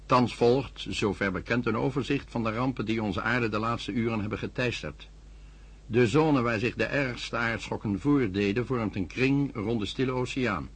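A man's voice: medium pitch, a bit bright, breathy edge. An actor playing a male newsreader who soberly reads a disaster bulletin in a radio drama. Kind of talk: news bulletin reading.

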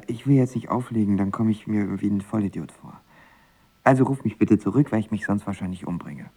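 A man's voice: fairly low pitch, vocal fry, a little mellow, breathy edge. An actor playing a lovesick, self-mocking young man of twenty-one in a radio drama, hesitant and rambling. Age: young adult. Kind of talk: naturalistic radio play acting with first-person narration.

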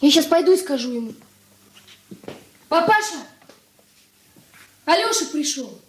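High-voiced eager young girl, echoey. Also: animated, measured pace, recorded from a distance. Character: eager young daughter of the household. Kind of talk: Soviet radio play acting. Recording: roomy echo, off mic.

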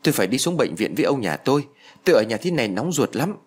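Man voicing a hot-tempered old village wife, animated. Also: on the low side, fast talker, slightly rough. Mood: agitated. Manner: emotional, rustic scolding and lamenting.

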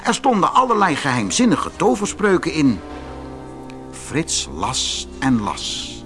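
Very animated, unhurried male storyteller. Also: fairly high pitch, music in the background. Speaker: storyteller reading an audiobook fairy tale. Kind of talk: expressive scripted narration for children.

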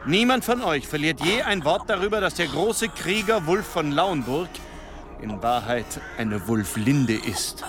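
An actor playing a stern, indignant medieval duke with an imperious, abrupt manner in an audio drama. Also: male voice, fairly high pitch, animated, a little slow, over music.

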